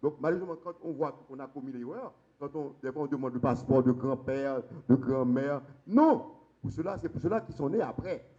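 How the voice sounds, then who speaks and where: A man's voice: medium pitch, a little fast, dull audio. A Haitian man on a law panel, explaining the constitution emphatically. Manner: emphatic, stresses points with sharp rises. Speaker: lawyer on a conference panel.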